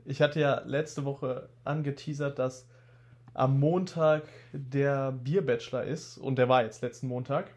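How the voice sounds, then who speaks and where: A young man in his twenties with a slight cold, telling stories in a relaxed, casual podcast chat. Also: medium pitch, unhurried. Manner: relaxed storytelling, laid-back slang. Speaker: podcast co-host.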